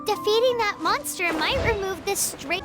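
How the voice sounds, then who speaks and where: Game fairy sidekick: childlike, very high squeak, cheeky and excitable, swooping intonation. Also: female voice, normal speed, loud music behind the voice. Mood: curious, helpful. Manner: cute, exclamatory, cheeky. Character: tiny chirpy fairy girl companion, excitable, third-person speaker. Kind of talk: video game voice acting.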